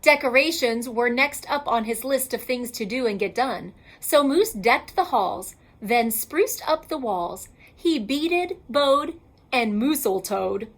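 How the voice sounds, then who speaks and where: A woman reading a children's picture book aloud like a schoolteacher, in a theatrical, emphatic and deliberate way. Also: on the high side, expressive.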